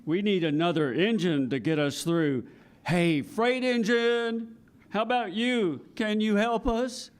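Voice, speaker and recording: A man's voice: high voice, a little slow. A man playing a worried, eager little toy train in a children's audio theater show. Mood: worried, pleading. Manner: chanting train sounds, repeating cries for help.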